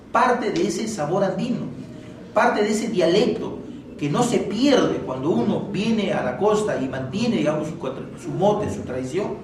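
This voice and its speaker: A man with a Peruvian accent giving an extemporaneous literary speech at a book presentation, in a didactic, ornate manner with rhetorical questions. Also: fairly high pitch. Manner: ornate, erudite vocabulary. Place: echoey indoor room at a live event. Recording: very echoey room, distant mic, faint noise.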